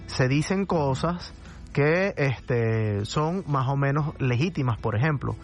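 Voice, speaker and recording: A man's voice: medium pitch, animated, takes it slowly, soft background music. A man speaking as an expert radio guest, carefully explaining and qualifying his points. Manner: analytical, careful, self-qualifying. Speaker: social psychologist guest. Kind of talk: explanatory radio interview talk.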